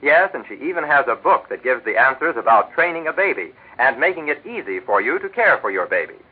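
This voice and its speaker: An American man sells a sponsor's product in chatty 1940s radio style. His husky voice rises and falls freely in a folksy patter. It comes through as a narrow, phone-like old broadcast. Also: mid-range voice, very animated, brisk. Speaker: radio announcer and sponsor's pitchman. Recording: vintage 1940s radio broadcast, telephone-like band.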